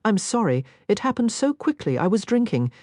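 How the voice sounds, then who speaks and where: A woman voicing a flustered young gentlewoman who talks in a babbling, apologetic, tearful way. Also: medium pitch, very animated, moderate pace, no room sound, clean studio sound, pitched above the performer's own voice.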